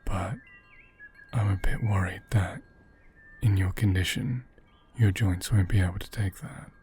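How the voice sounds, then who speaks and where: A man playing a gentle, caring caretaker of a fragile doll in an ASMR roleplay, speaking softly and hesitantly, often whispering. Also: deep voice, fairly even intonation, a little hiss or hum. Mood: gently concerned. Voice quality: soft, breathy, often whispered. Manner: slow, hesitant, reassuring.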